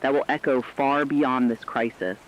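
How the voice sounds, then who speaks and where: A man playing a grave radio co-anchor, reading a scripted report in a formal, ominous, deliberate way. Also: mid-range voice, steady tone, natural voice. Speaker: news co-anchor. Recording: dull lo-fi broadcast with faint rain noise.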